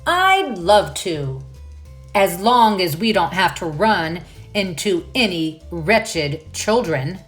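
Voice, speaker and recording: A woman voicing a polite, fussy male troll from a storybook, with a genteel, emphatic, drawn-out delivery. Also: fairly low pitch, very animated, faint music behind. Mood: grumbling.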